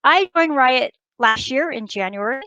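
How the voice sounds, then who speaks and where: A woman's voice: high voice, animated, measured pace, pushed and bright, no echo, muffled recording. A woman in people and operations giving an upbeat, prepared keynote for a virtual conference. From United States and Taiwan. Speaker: company HR executive presenting a keynote.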